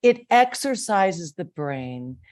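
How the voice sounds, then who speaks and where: A woman speaking as a talk show guest in a measured, earnest, conversational way. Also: lowish voice, sing-song, darkish tone. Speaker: guest, theater marketer.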